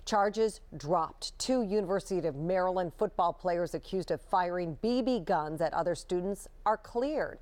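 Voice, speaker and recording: A woman's voice: on the low side, moderate pace, hardly any pauses, darkish tone. A woman anchoring a TV newscast, reading scripted stories. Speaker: news anchor. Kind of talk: scripted TV news delivery.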